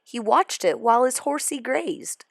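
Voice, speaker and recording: A woman reading a children's storybook aloud as expressive scripted narration. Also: a little high, unhurried, vocal fry, no room sound, studio quality. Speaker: storybook reader.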